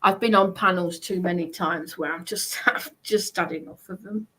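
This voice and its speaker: A woman hosting a livestream, chatting casually in hesitant, halting phrases. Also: lowish voice, bright, forceful tone. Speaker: livestream host.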